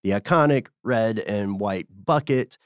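Low-voiced American man, wry podcast storyteller. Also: unhurried, no room sound, studio quality. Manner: playful, wry, mock-formal phrasing. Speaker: podcast co-host. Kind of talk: conversational storytelling with a co-host.

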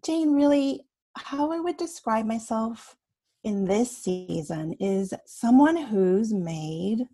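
A woman's voice: fairly high pitch, expressive, slow pace, mellow. A woman in her fifties from Canada, a coach speaking as a podcast guest, reflective and laughing often. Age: 50s.

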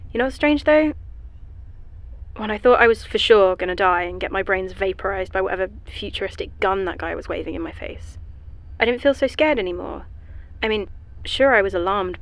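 Woman playing shaken scientist lady, audio drama, halting rambly talk, dry humor, normal pitch. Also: natural voice. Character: shaken, hesitant, self-deprecating female scientist. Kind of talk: audio drama acting, diary monologue.

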